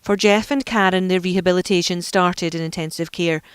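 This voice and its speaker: A woman reporter reading scripted news narration in an even, measured way. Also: on the low side, clear, resonant tone.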